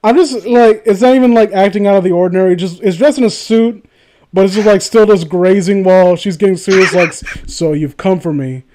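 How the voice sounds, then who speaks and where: A man's voice: sits high, a little fast, mellow, a little hiss or hum. A man reading a story aloud as a comedy host, breaking off into sarcastic, incredulous, sweary commentary.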